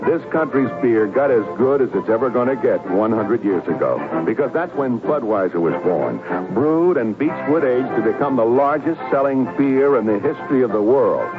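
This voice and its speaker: An old, muffled radio commercial with music behind. An American man announces it in a proud, folksy seventies style, his pitch moving freely. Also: lively intonation, moderate pace, few pauses.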